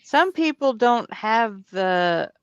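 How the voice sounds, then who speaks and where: A woman's voice: a little high, expressive, slow, clear, resonant tone, no echo. A woman in her fifties chatting casually, easygoing and laughing along. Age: 50s. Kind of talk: casual chat. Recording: dry, muffled lo-fi audio.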